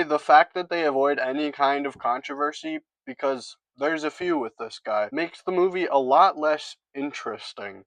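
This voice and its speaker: A man's voice: mid-range voice, fairly bright tone, dry recording, rough recording. A man reviewing a film in a casual, scripted monologue with sarcastic, jokey asides.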